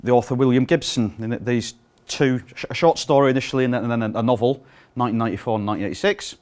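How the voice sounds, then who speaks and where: A man's voice: medium pitch, fast. A middle-aged British man giving a conference talk, projecting his voice without a microphone and speaking with plenty of ums and uhs. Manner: projecting to fill a room without a sound system; lively, frequent ums and uhs. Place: conference room.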